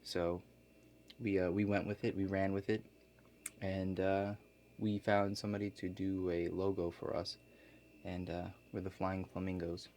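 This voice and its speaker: A man playing a laid-back construction contractor in an ASMR role play, explaining things to a client in a halting, matter-of-fact way. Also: sits low, level tone, darkish tone, slight background noise. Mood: casual, unhurried.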